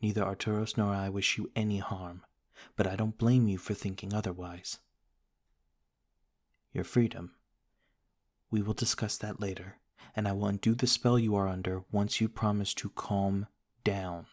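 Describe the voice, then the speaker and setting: A man playing an ancient noble vampire lord, calm, commanding and formally courteous, in an ASMR roleplay. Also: lowish voice. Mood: stern composure. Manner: formal, measured, restrained. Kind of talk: ASMR roleplay audio drama.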